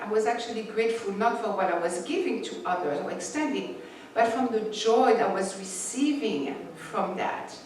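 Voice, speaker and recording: A woman's voice: mid-range voice, a little mellow. A woman whose first language is not English, giving a reflective spiritual talk and reading passages aloud, with a few restarts. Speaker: spiritual teacher. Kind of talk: sermon with read passages. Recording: echoey room from far off, faint noise.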